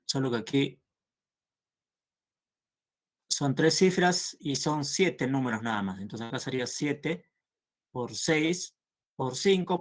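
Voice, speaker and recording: A man teaching a math class, working through problems aloud and checking with students as he goes. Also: medium pitch. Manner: slow and patient, checks understanding with "¿no?".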